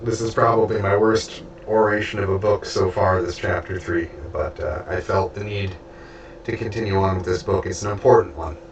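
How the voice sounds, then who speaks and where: Stuffy man reading aloud, halting. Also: sits low, steady tone. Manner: halting, stumbles over names and restarts words. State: stuffy and losing his voice. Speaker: audiobook reader and streamer. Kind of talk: reading a book aloud with spoken asides. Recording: roomy, distant from mic, faint background hiss.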